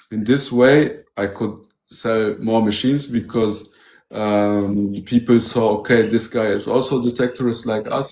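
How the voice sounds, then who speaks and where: A man's voice: on the low side, a little mellow. A man from Germany, a guest who runs a metal detector shop, talking casually and eagerly and often saying "you know". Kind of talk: casual interview chat. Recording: roomy echo.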